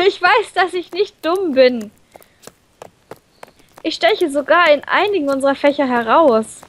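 A woman reading a visual novel aloud in an animated, playful way, breaking off into her own comments. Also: high voice, measured pace. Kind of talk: expressive let's play read-aloud.

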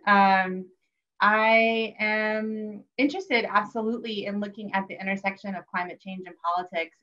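Brief: female professor in her thirties, low flat delivery, dull muffled audio. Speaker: political science professor. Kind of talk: panel self-introduction. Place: home room with wall map, video call. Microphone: webcam on video call.

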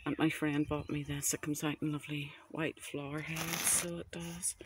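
A man with a Northern Irish accent chats gently and casually as he narrates an unscripted garden tour on a handheld phone outdoors. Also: on the high side, level tone, normal speed, very noisy. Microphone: handheld phone camera.

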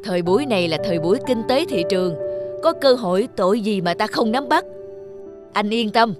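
A woman's voice: mid-range voice, expressive, brisk, lightly acted. Woman doing fawning cartoon factory guy, eager to please, kinda hesitant, music behind. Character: eager, ingratiating male factory employee hungry for promotion. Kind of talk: cartoon voice acting.

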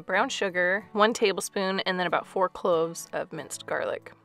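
A woman's voice: fairly low pitch, gravelly, fairly bright tone. A woman in her thirties chatting casually through a home cooking vlog voice-over, with light laughs. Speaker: family vlogger.

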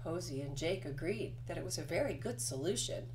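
A woman reading a children's picture book aloud as narration, with expressive storytelling delivery. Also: lowish voice, fairly quick, noisy background. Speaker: storybook reader.

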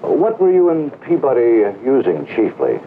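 An actor playing an older, self-assured physics professor, brisk and questioning, in a 1960s film. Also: male voice, wildly swooping pitch, phone-quality sound. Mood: brisk, probing. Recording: thin old film soundtrack, rumbling noise.

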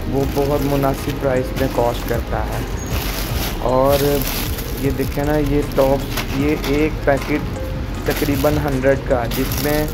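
Brief: man selling wholesale stock, monotone pitch, phone-camera sound, heavy music bed. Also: poor audio. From Pakistan. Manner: plain, repetitive sales pitch. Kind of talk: product showcase. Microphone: handheld phone camera.

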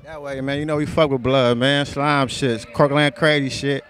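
A man's voice: mid-range voice, fairly even intonation, moderate pace, fairly bright tone, faint music behind. A man, a rapper guest speaking laid-back and slangy into a handheld mic outdoors. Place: outdoor festival.

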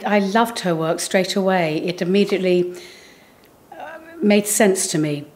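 A woman's voice: mid-range voice, measured pace, a little mellow, a little hiss or hum. A woman performer talking casually in an interview. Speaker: performer in the reading.

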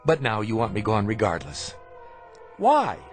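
A feverish traveler in a radio drama, weak yet defiant, his pitch rising and falling sharply as he presses accusing questions. Soft music sits underneath. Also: huge pitch swings, unhurried. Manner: probing, indignant, sharp questions. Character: ill, defiant male traveler confronting monks. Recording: radio play with music behind.

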